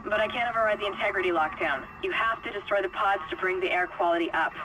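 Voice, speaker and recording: A woman playing a brisk, urgent spaceship tech specialist in a video game, over a noisy transmission. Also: mid-range voice, lightly acted. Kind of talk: dramatic video game acting.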